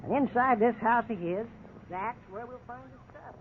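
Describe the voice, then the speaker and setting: A man's voice: extremely high pitch, normal speed. An actor playing a cocksure, bullying veteran policeman in a 1950s radio drama, with a sarcastic, domineering manner. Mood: scheming, insistent. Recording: tinny, noisy old radio recording.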